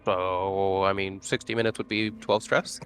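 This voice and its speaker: A man's voice: low voice, level tone, unhurried, fairly bright tone, a little hiss or hum. A man chatting casually as a player in a tabletop roleplaying game.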